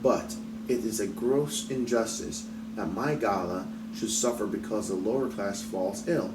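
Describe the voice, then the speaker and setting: A man's voice: lowish voice, unhurried, distant mic, natural voice. A haughty storybook matron, acted out by a man, prim, disdainful and easily flustered. The voice comes from a little way off in an echoey room, with music underneath. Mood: indignant. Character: haughty wealthy matron, snobbish and fluttery. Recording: roomy echo, off mic, music behind.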